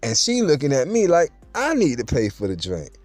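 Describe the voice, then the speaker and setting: Animated storytelling man with street slang. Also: medium pitch, normal speed, dry recording, soft background music. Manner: streetwise, playful, boastful slang, addresses listeners directly. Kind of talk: casual storytelling to viewers.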